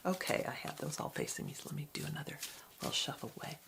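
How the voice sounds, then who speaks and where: A woman giving an ASMR card reading in a soft, breathy whisper, with a gentle, reflective, unhurried manner. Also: low-pitched, lively intonation, noisy background. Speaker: card reader.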